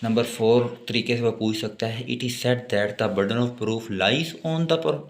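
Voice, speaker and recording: Slow-spoken Pakistani man lecturing, echoey room. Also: medium pitch, steady tone, gravelly. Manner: reads English passages slowly and deliberately, then explains informally with repetition. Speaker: law lecturer. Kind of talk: exam-focused lecture, reading English notes and explaining them.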